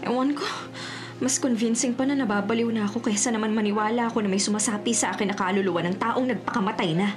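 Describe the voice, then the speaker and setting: A woman's voice: fairly high pitch, fast talker, music in the background, close to an everyday voice. A Filipino woman playing an anxious, haunted woman in a radio drama, stubbornly in denial, with overwrought, nervous self-talk. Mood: confused, uneasy.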